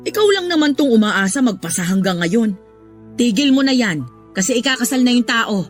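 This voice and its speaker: A woman's voice: on the high side, lively intonation, fairly quick, soft background music, lightly acted. An actress playing a frank, scolding older mother in a radio drama, with a Filipino accent. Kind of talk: Tagalog radio drama acting.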